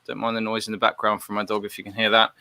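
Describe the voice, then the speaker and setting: A male host introducing a guest in a relaxed way, with a lot of fillers and hesitations, over a remote video call. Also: fairly low pitch, rapid-fire, creaky, no echo. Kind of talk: casual show introduction.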